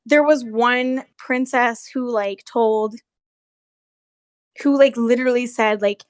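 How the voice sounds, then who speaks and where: A woman's voice: fairly high pitch, steady tone, takes it slowly, no echo, clean studio sound. A young woman telling stories in a casual, gossipy way, with slangy, sweary speech peppered with "like". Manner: sweary, slangy, heavy use of "like". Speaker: former theme park character performer telling stories.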